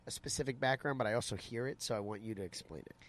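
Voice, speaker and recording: A man's voice: medium pitch, very fast, a bit bright. A man co-hosting a casual podcast, bantering and teasing as he asks questions. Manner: joking, teasing. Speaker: podcast co-host.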